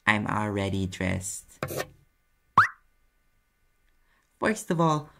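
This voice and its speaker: A man voicing a sarcastic demon private eye in an audio roleplay, with a New York accent and a gravelly, husky voice. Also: fairly low pitch, expressive, measured pace, a little hiss or hum. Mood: sarcastic banter. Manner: sardonic, casual, swearing, defensive. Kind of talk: audio roleplay acting, conversational.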